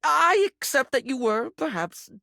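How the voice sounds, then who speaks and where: A man playing a flamboyant, chatty, insecure male object come to life in a video game, with a light high voice and a theatrical, gushing, rambling manner. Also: sing-song, a little slow, creaky, pushed and bright, no room sound, clean studio sound, full character voice. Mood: awkward, polite. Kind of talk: video game character acting.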